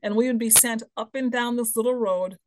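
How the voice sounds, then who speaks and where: A woman from the Bahamas, a podcast guest telling personal stories in a lively way that keeps checking in with "right?" and "you know". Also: fairly high pitch, fairly quick, slight background noise, poor audio. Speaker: guest, human potential expert. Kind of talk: podcast interview, personal storytelling.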